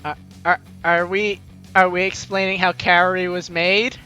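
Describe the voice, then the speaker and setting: A man's voice: high voice, flat delivery, unhurried. A man doing casual let's play commentary, reading game text aloud and adding teasing, sarcastic asides. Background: soft game music.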